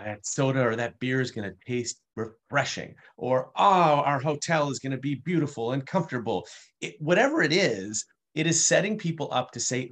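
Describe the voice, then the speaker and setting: A man's voice: medium pitch, fairly bright tone, slightly rough. A man answering interview questions about customer experience, speaking fluently and explaining his points with playful asides.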